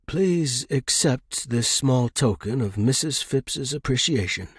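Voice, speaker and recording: A discreet manservant, voiced by a male narrator in a storybook reading, formal and courteous. He keeps a reserved, deferential manner, with a husky voice in a dry recording. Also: mid-range voice, expressive, slow, no room sound, exaggerated voice. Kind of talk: audiobook character reading.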